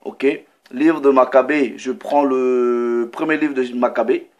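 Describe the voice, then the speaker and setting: Man preaching, slow deliberate delivery, reads verses aloud, keeps adding "ok". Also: medium pitch, steady tone, muffled recording. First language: French. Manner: reads scripture slowly, breaks in with "ok" and comments. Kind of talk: sermon with Bible reading.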